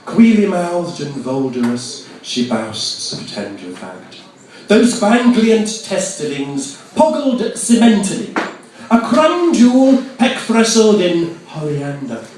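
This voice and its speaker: A man's voice: on the high side, darkish tone. A man performing poetry live, declaiming nonsense verse in a theatrical, mock-grand manner with precise enunciation. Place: reverberant venue at an arts festival. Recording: echoey hall, distant microphone.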